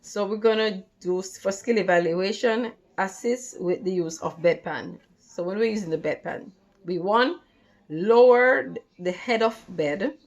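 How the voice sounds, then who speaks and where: Slow, deliberate woman tutor reading aloud. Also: medium pitch. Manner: deliberate, reading out step by step in short phrases. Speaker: nursing assistant tutor. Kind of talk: instructional read-along lesson.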